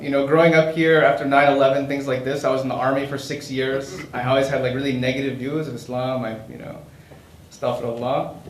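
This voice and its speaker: A man on a panel earnestly sharing his personal background. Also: medium pitch. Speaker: panelist, army veteran. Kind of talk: personal testimony. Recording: echoey room, light noise.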